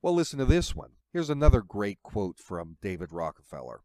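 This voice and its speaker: A man's voice: on the low side, big pitch swings, fairly quick, a little mellow, no echo. A man giving opinionated political commentary in an emphatic, rhetorical, preachy way.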